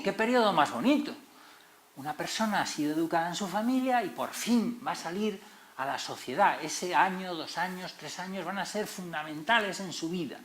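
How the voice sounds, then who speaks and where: A middle-aged man with a Madrid Spanish accent giving an expressive public lecture, stressing key words as series moderator. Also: on the high side. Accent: Madrid Spanish, not very Canarian. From Tenerife, Canary Islands. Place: lecture hall. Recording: roomy echoey hall.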